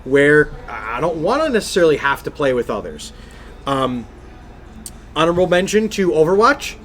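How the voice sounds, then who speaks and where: Emphatic, higher-pitched man on a gaming podcast. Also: sing-song, normal speed, fairly bright tone. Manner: emphatic, effusive, repeats phrases for stress. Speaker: podcast co-host. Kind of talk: casual video game podcast chat.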